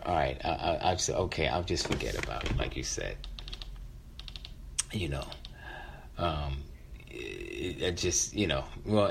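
A man in his fifties with an American accent and a low, deep voice recounts a personal story. His delivery is conversational and reflective, often halting or repeating a word as he goes. Noticeable background noise sits behind him. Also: creaky. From West Philadelphia, United States. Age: 50s. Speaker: featured storyteller.

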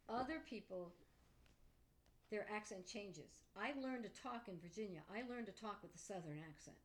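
A woman from New York with a Pennsylvania accent, chatting in an interview, precise about facts and quick to correct details. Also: medium pitch, slight background noise. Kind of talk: casual interview conversation.

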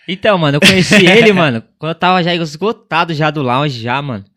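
A twenty-year-old man with a São Paulo accent, telling his story as a podcast guest in slangy, animated casual chat. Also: fairly high pitch, fast talker. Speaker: podcast guest, delivery cyclist.

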